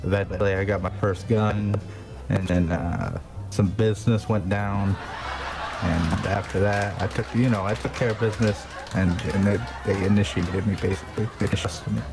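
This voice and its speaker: A man's voice: fairly low pitch, fairly even intonation, moderate pace, reverberant, distant mic, music in the background, close to an everyday voice. A man playing a rambling New York mafia hitman. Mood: boastful. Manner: rambling, hesitant, full of "you know". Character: young male mafia hitman boasting of his past. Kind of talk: improvised character in a game voice chat.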